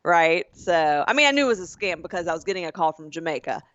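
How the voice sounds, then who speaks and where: A woman from the American South co-hosting a comedy podcast, telling stories in a casual, animated way and acting out phone calls with a laugh. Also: fairly low pitch, fast talker, no room sound. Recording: dry, slightly dull lo-fi sound.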